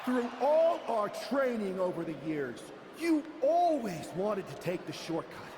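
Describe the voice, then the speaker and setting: A betrayed male wrestler delivers a confrontational video game promo. His high voice swings widely from low menace to emphatic shouts, echoing through a noisy arena. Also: very animated, a little slow. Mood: bitter, scornful. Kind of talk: dramatic in-ring wrestling promo. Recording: echoey arena hall, noisy background.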